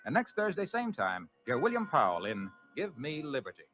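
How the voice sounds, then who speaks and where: Bright, high-pitched vintage radio announcer man. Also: wildly swooping pitch, moderate pace, faint music behind. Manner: polished, emphatic. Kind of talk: 1940s radio sponsor and announcer delivery. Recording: dull vintage 1940s radio broadcast.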